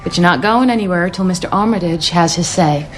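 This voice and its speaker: An actress playing a cool, dangerous female mercenary, terse, dry and unhurried, in a radio drama. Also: lowish voice, faint music behind, lightly acted. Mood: matter-of-fact. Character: tough, cool female razor-fingered mercenary, laconic and dangerous. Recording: noisy background ambience, rain-like hiss.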